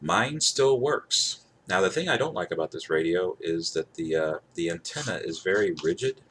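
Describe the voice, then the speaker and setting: A man's voice: low voice, expressive, moderate pace, fairly bright tone. A man giving a casual product demonstration to camera, rambling and repeating himself for emphasis. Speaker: gadget reviewer. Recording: clear background noise.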